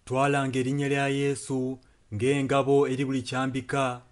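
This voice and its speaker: A man's voice: mid-range voice, completely flat delivery, normal speed. Man interpreting a sermon, music behind. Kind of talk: spoken and sung translation of a sermon.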